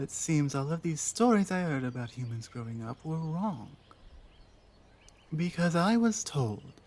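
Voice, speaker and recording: A woman voicing an earnest, honor-bound female orc in an ASMR roleplay, formal yet halting in manner. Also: deep voice, a little mellow, heavily acted. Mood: surprised, moved.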